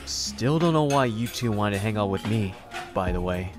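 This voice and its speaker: An actor playing an aloof, wary teenage boy in an anime comic dub, with deadpan, reluctant delivery. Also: fairly low pitch, moderate pace, close to an everyday voice. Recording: music behind the voice.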